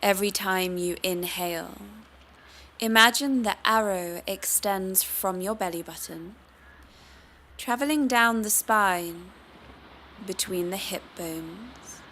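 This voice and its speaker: A woman teaching yoga meditation, guiding the listener in a calm, soothing, unhurried manner. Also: mid-range voice, slight background noise. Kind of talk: guided meditation.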